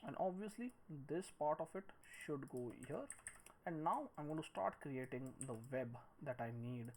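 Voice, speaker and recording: A man in his thirties giving a screen-recorded tutorial, thinking aloud in a halting, musing way as he works. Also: a little high, animated. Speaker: 3D modelling tutorial presenter. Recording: noisy room with clicks and typing.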